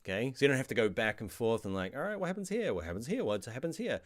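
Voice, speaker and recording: A middle-aged man with a New Zealand accent, answering viewer questions in a casual live stream about design software. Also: medium pitch, fast talker. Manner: chatty, reads viewer questions aloud, animated asides. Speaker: online design course instructor.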